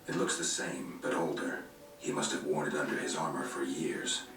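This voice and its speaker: Laconic fantasy game monster hunter: rock-bottom pitch, gravelly, world-weary, over faint music in a roomy playback. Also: male voice, moderate pace, recorded from a distance. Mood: weary, matter-of-fact. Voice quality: gravelly, low. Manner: terse, flat, understated. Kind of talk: video game cutscene dialogue.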